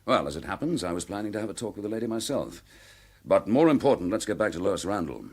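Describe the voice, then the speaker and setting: A British detective inspector in a radio drama, low-voiced and slightly husky, with lively swings of pitch. He takes charge briskly, sounding determined and protective as he lays out matters. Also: exaggerated voice. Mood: measured, resolute. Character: decisive suspended police detective inspector, protective and urgent.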